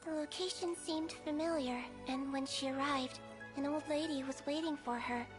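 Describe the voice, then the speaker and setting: A woman voicing a small, gentle, youthful nonhuman girl doctor in a video game, with an earnest, measured manner. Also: high-pitched, steady tone, music in the background, lightly acted. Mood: solemn storytelling.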